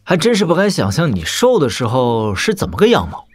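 An actor playing a shrewd young warlord prince with measured, casual authority in a wuxia audio drama. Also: male voice, medium pitch, huge pitch swings. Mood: reflective.

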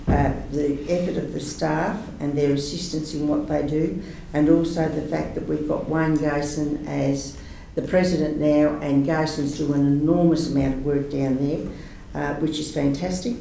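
A man's voice: fairly high pitch, flat delivery, normal speed, creaky, warm tone, slight background noise. A man giving a rambling, earnest councillor's report at a council meeting. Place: large council meeting room. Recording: echoey meeting room from far off.

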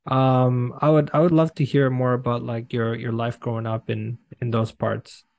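A man's voice: medium pitch, level tone, normal speed, creaky, darkish tone. Young man hosting a casual video podcast. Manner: eager, stumbling restarts and fillers. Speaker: podcast host. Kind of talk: casual interview over video call. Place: home room.

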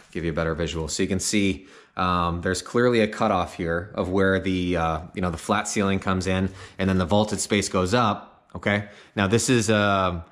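A man giving a casual walkthrough of an unfinished house, speaking conversationally with many fillers and frequent "okay?" check-ins. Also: low voice. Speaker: home sales guide. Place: unfinished house interior, open framing.

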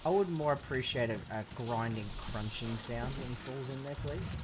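A young man commentating on a video game in a sarcastic, sweary way, with sudden excited outbursts. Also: medium pitch, normal speed, background noise. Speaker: gaming commentator. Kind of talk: let's play commentary.

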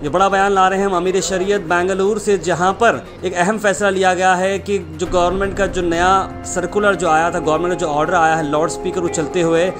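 Newsman, higher-pitched, over music. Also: little pitch movement, fairly quick, few pauses. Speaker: news presenter. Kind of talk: scripted news narration with sponsor reads. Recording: music playing behind the voice.

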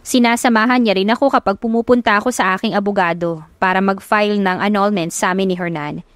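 A woman's voice: medium pitch, fairly even intonation, fast talker, dry recording. A woman reading scripted first-person romance narration as an audiobook narrator. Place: close, dry recording. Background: faint music.

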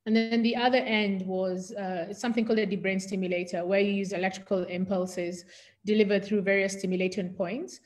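A woman's voice: mid-range voice, little pitch movement, fairly quick, darkish tone, soft background music. Articulate Kenyan woman explaining her profession. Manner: articulate, explanatory, defines medical terms. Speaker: neurosurgeon interview guest. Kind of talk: conversational explanation in an interview.

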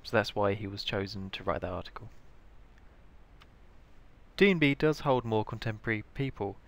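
A man's voice: lowish voice, animated, moderate pace, gravelly. A British man giving scripted instructional narration as the presenter of a training tutorial. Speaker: information librarian, tutorial presenter.

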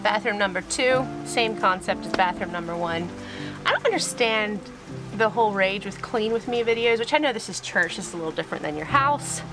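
A woman's voice: medium pitch, animated, loud music behind the voice, low-quality recording. A woman in her thirties vlogging as she cleans a small church, talking candidly and a little hurriedly into a handheld camera. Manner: chatty, candid, a bit hurried. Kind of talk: casual vlog narration while cleaning. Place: small country church, indoors and on the porch. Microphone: handheld camera at arm's length.

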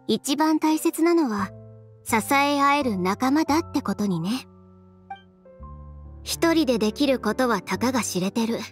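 A woman playing a proud, self-assured young fashion designer in Japanese game acting, with a cool, assertive, teasing manner. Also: high-pitched. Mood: earnest. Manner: cool, assertive, teasing, crisp feminine phrasing. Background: background music.

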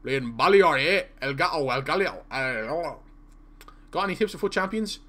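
A man's voice: a little high, expressive, normal speed, a bit bright. Young Irish man chatting on stream. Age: young adult. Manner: chatty, reads chat aloud, stutters at times. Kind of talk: casual live-stream chat while playing. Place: small room indoors.

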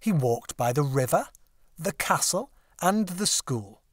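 Guy reading a kids picture book, slow n careful, really animated pitch, dry recording. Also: husky, no echo. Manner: expressive, deliberate phrasing. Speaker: audiobook narrator. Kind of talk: scripted children's story narration.

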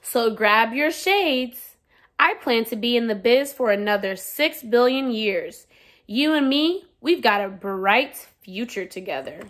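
A woman's voice: a little high, expressive, unhurried, a bit bright. A woman voicing a boastful, playful, self-important Sun from a children's picture book. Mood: proud. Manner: bragging, showy. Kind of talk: children's storybook read-aloud.

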